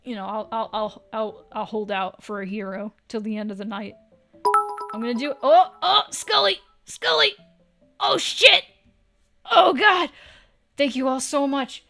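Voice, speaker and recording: A woman's voice: on the high side, measured pace, gravelly, fairly bright tone, soft background music. A woman livestreaming, chatting casually with viewers in a playful, teasing way and swearing now and then.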